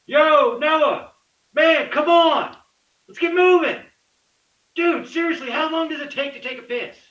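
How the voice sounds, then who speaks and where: A man's voice: very high voice, measured pace, fairly bright tone. Man acting an echoey, distant, nervy young horror boyfriend. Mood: calling out, impatient. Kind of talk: horror script table read. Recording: echoey, distant, slightly dull microphone.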